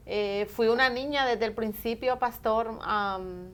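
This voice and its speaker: A woman's voice: a little high, slow pace, fairly bright tone. A woman from Honduras, a church elder, giving an earnest, devout testimony in a TV studio interview. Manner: earnest, devout, answers with "amén".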